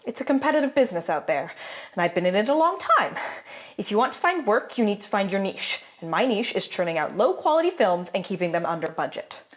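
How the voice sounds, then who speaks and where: A woman playing a jaded, rattled low-budget film director in an audio drama, blunt and wry but uneasy. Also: big pitch swings, fast, close to an everyday voice.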